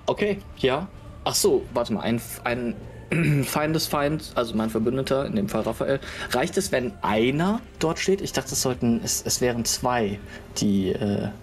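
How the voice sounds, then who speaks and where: A man's voice: medium pitch, animated, moderate pace, slight background noise. A man playing a tabletop role-playing game, talking casually and hesitantly as he thinks aloud. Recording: music under the voice. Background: background music.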